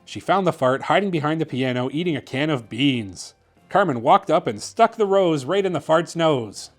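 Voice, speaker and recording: A man hosting and narrating a children's bedtime story in an expressive, theatrical way. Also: a little high, normal speed, soft background music. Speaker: host reading children's books aloud.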